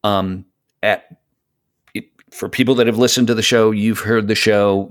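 A man's voice: on the low side, fairly bright tone. A man in a casual podcast conversation, speaking emphatically and repeating phrases to stress his point. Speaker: podcast guest, hobby voice actor.

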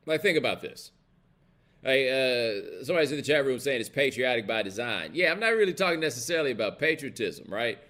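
A man's voice: medium pitch, animated, brisk, fairly bright tone. An American man hosting a casual, opinionated livestream, talking bluntly and colloquially with emphasis and checking in with his listeners. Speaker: host of a live commentary show.

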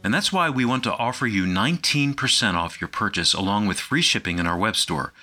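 Bright, lively male radio announcer over music. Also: on the low side, fairly quick. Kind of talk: scripted promotional announcement.

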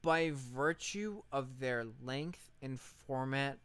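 A man's voice: medium pitch, slow, fairly bright tone, smooth, no echo. A man co-hosting a podcast in casual conversation, informal, slangy and opinionated. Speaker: podcast co-host.

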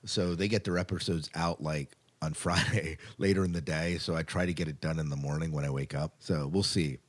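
A man's voice: rumbling low, fairly even intonation, brisk. A man co-hosting a podcast in casual chat, rambling along informally and with enthusiasm. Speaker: podcast co-host.

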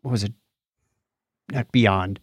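A man's voice: lowish voice, takes it slowly, no room sound. A middle-aged man chatting casually and conversationally as a podcast co-host. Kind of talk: casual chat.

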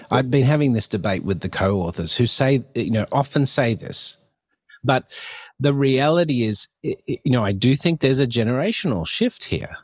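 A man's voice: lowish voice, slightly rough, no echo. A man hosting an interview podcast in a conversational, discursive way. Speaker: podcast host.

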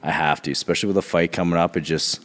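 A man co-hosting a podcast in casual chat, his voice raspy from a sore throat, rambling in a laid-back way. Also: low-pitched, fairly even intonation, quick pace, in a large hall. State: sore throat from being choked. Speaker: podcast co-host.

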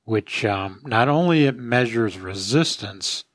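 A man, an expert podcast host, explaining casually in measured, halting phrases on a close microphone. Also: mid-range voice, animated, fairly bright tone, no echo. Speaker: podcast expert host answering listener questions.

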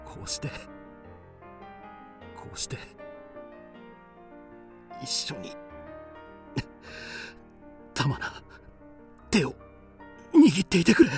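A man's voice: a little high, wildly swooping pitch, extremely slow, pauses often, faint music behind, heavily acted. A man playing a reckless, passionate samurai in a radio drama, speaking intense, archaic warrior lines. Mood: dying, tender, gasping. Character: reckless, passionate young samurai in forbidden love.

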